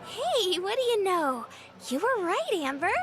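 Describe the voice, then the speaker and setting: Casting: bubbly, naive young treasure hunter in a visual novel, very high and animated, sweet, eager. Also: female voice, measured pace, soft background music, full character voice. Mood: cheerful. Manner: cutesy, eager.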